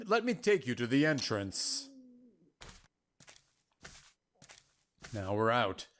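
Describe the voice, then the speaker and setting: Man voicing a solemn, mystical prophecy keeper. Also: medium pitch. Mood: calm farewell. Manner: measured, formal, archaic phrasing. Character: Lazarus, solemn mystical male prophecy keeper, patient and cryptic.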